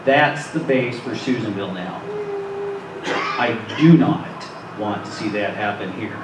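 A man giving halting, deliberate public testimony as a city councilman at a hearing in a meeting room. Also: lowish voice. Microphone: distant camera microphone. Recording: distant, echoey, noisy room microphone.

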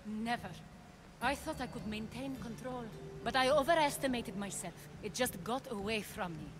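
An actress playing an ambitious, visionary schemer of ancient Greece in a video game, speaking in a measured, earnest and persuasive way. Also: female voice, on the high side, natural voice. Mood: earnest explaining. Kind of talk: dramatic video game cutscene acting.

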